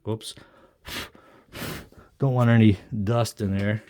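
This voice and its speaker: Middle-aged man, lowish voice, hesitant musing home-video chat, self-deprecating, background noise with clicks. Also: steady tone, takes it slowly, mellow. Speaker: amateur video maker. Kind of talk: casual rambling talk to himself while showing an object. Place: indoors at a wooden desk in a home room. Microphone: camera or phone on a tripod. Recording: clearly noisy home recording with clicks.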